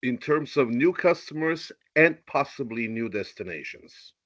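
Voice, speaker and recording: A man calling in to an earnings call, asking formal questions politely and deliberately over a remote line. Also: mid-range voice, expressive, a bit bright, dry recording, lo-fi sound. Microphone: remote conference call.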